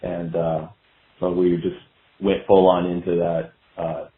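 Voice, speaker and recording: A man's voice: deep voice, flat delivery, unhurried. A man chatting casually as a musician guest in an interview, looking back in a hesitant, meandering, filler-heavy way. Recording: dull lo-fi roomy call sound.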